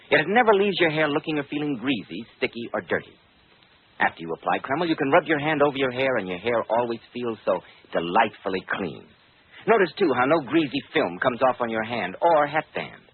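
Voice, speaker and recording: A man announcing and pitching in polished, formal 1940s radio style. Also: very animated, fairly quick, breathy edge, narrow, tinny audio. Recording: thin, noisy 1940s radio broadcast.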